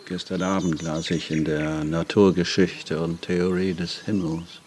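A calm, deep-voiced English gentleman. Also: a little slow, slight background noise. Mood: reflective. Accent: light English accent in German. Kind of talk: radio play acting.

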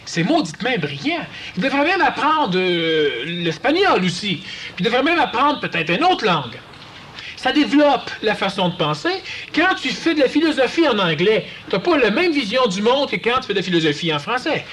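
Québécois male speaker, high and highly expressive, conversational lecture with faint room noise. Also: normal speed. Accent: Quebec French. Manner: animated, acts out quoted voices. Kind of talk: conversational talk to an audience.

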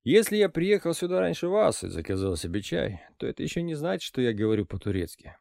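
A man reading an audiobook, voicing a shrewd, calm older intelligence officer who speaks in a dry, ironic, lecturing way. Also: on the high side, fast, gravelly, no room sound, voice pushed up. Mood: wry.

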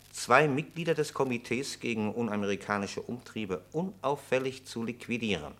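Actor as officious, condescending federal agent. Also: male voice, mid-range voice, fairly bright tone, close to an everyday voice. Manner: clipped, formal, condescending. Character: curt, officious, self-assured male FBI agent. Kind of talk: vintage German radio crime drama acting.

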